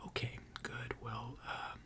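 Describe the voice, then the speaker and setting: A person whispering an ASMR repair roleplay in a breathy, gentle and reassuring way, with unhurried, hesitant pauses. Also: mid-range voice, flat delivery. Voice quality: breathy, mostly unvoiced whisper. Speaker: ASMR creator role-playing a robot repair technician.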